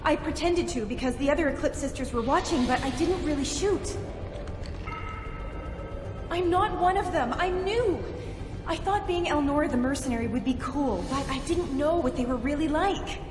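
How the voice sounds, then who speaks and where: Actress as naive female recruit, fairly high voice, girlish, eager to convince, video game, echoey. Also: moderate pace, lightly acted. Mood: panicked pleading. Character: naive young female alien mercenary recruit, pleading. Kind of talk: video game character acting. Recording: echoing room, loud music behind.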